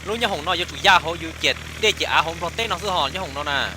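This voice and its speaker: A man's voice: a little high, fast talker, close to an everyday voice. A man voicing a talkative male character in a comic cartoon. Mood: explaining. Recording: clear background noise.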